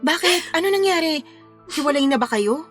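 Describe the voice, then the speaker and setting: An actress playing a caring wedding planner and concerned confidante, speaking earnestly in a Filipino radio drama. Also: female voice, sits high, animated, moderate pace, soft background music. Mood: surprised, probing. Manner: soothing, earnest, advising.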